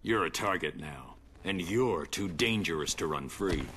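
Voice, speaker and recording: A stern brooding vigilante in a game, really low hard forceful voice, terse orders. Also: male voice, expressive, measured pace, a little hiss or hum. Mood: stern command. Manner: terse, commanding. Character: stern, brooding, commanding male masked vigilante hero. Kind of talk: video game cutscene acting.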